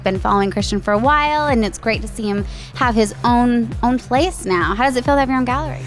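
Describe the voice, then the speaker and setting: Brief: high-pitched woman, cheerful TV host, light music under her. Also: fast. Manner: upbeat, chatty, affirming. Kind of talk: TV interview.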